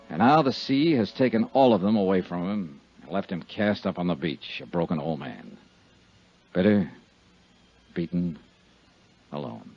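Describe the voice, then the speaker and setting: Hard-boiled radio detective, animated delivery. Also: male voice, on the low side. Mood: weary, somber. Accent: American. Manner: terse, clipped, dramatic storytelling. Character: hard-boiled male insurance investigator, tough and world-weary. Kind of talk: 1950s radio drama narration and acting. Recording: dull 1950s radio recording with music.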